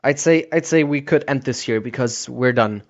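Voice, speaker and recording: A man's voice: mid-range voice, normal speed. Man hosting a podcast, dull lo-fi audio. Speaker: podcast host interviewing a guest. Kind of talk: casual conversational interview. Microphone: voice call recording. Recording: dull lo-fi internet call audio.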